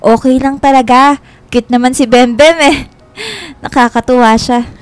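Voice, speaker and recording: A woman playing a friendly, easily delighted young Filipino woman in a radio love drama, expressive and chatty. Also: high-pitched, measured pace, no echo, soft background music. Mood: bright surprise.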